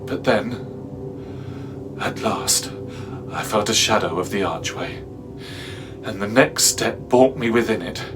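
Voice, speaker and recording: A man playing a haunted, fearful narrator in a dramatic reading of a horror tale, speaking deliberately and theatrically with halting pauses. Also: fairly low pitch, over music. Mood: hushed dread. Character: haunted, fearful man recounting a nightmarish pursuit. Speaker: actor, narrator.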